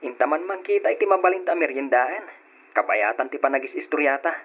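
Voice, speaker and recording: A man's voice: on the high side, expressive, a little fast. A man playing a teasing young boyfriend. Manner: teasing, playful. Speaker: boyfriend character. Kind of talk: radio drama acting. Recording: dull lo-fi radio recording with faint hiss.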